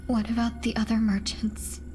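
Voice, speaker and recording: Breathy, weakened, refined young woman voiced by an actress. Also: fairly high pitch, flat delivery, moderate pace, darkish tone, a little hiss or hum, lightly acted. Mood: weak, confused. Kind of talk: scripted video game cutscene acting.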